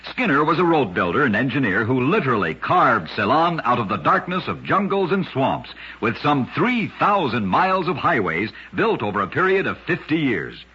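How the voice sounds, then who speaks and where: A man's voice: medium pitch, big pitch swings, normal speed, few pauses, pushed and bright, slightly rough. A man narrating short curiosities for radio in a scripted, dramatic style with emphatic storytelling. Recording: dull lo-fi old radio recording.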